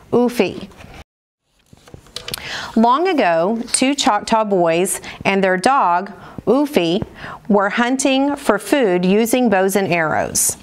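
A middle-aged woman with an American accent reads aloud as a storyteller for children, in a measured, instructional manner with careful enunciation. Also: medium pitch. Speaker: storyteller, school program director. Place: indoors, seated at table before dark curtain.

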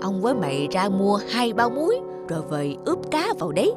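A woman voicing a greedy, stingy old male landlord in a cartoon, gruff and bossy. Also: mid-range voice, sing-song, a little fast, close to an everyday voice. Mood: scolding. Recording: studio voice with loud music.

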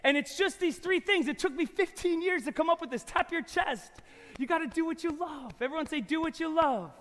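A man performing a live family magic show, coaxing volunteers and the audience with lively energy in a large hall. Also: very high voice, a little fast. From New York. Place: large old church concert hall with audience. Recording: loud background music in a hall.

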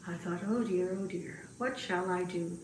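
A woman voicing a gentle, humble old male grass cutter in a puppet show, speaking hesitantly and getting easily flustered. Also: lowish voice, close to an everyday voice. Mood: earnest. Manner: hesitant, halting, with many pauses. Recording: roomy, distant mic, faint noise.

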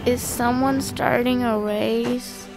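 An actress playing a groggy, grumbling daughter in a family audio drama. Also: female voice, fairly high pitch, fairly even intonation, slow, music in the background, low-quality recording.

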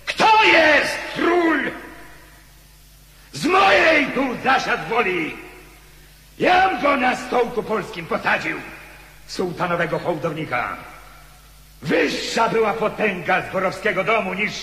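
A man's voice: sits high, sing-song, takes it slowly, pauses often, bright, forceful tone, full character voice. A man playing the bitter ghost of a beheaded Polish nobleman in a radio drama, declamatory, anguished and accusing. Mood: proud, defiant. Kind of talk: theatrical radio drama acting. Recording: echoey hall with long reverb.

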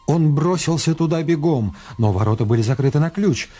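A man's voice: fairly high pitch, animated, fairly quick, mellow, faint music behind. A man giving dramatic scripted narration as the narrator of a radio play.

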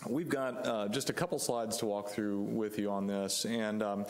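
A man's voice: on the low side, steady tone, a little hiss or hum. A man in his forties with laryngitis, presenting a zoning application as an attorney at a council chamber podium mic. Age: 40s. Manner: measured, many fillers. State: hoarse from laryngitis. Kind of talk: formal public presentation. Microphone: podium microphone.

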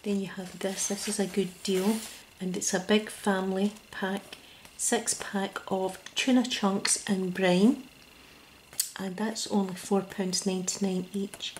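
A Scottish woman speaking ASMR in a soft, gentle whisper close to the mic, at a table indoors. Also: mid-range voice, flat delivery, measured pace, a little hiss or hum, rough recording. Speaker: ASMR creator.

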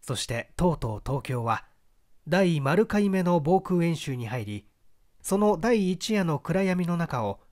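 A man narrating literary fiction from a script as an audiobook reader, with deliberate, theatrical storytelling. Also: fairly high pitch, big pitch swings, a little mellow.

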